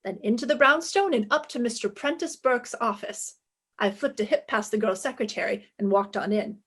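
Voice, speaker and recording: A woman's voice: fairly high pitch, moderate pace, a bit bright, husky. An actress playing a witty, flirtatious, self-assured female private eye in a 1950s-style radio detective play, with breezy, wisecracking delivery. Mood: wry narrating. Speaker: lead actress and first-person narrator.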